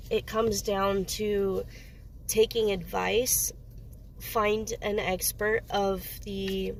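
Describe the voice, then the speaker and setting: Woman tarot reader with a head cold, gravelly voice, slightly noisy background, rambles and searches for words. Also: medium pitch. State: sniffly with a cold. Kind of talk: casual solo tarot reading.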